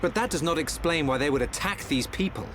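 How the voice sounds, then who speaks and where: A man's voice: fairly high pitch, animated, fairly quick, lightly acted. A man playing a haughty, dignified crown prince in a video game, speaking formally and imperiously. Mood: skeptical, troubled. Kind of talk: video game cutscene acting. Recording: faint ambient noise.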